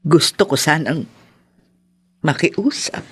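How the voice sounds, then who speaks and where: Husky, remorseful older mother, pleading. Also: sits low, lively intonation, slow, fairly bright tone, dry recording, heavily acted. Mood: sorrowful. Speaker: radio drama actress. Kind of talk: Filipino radio drama acting.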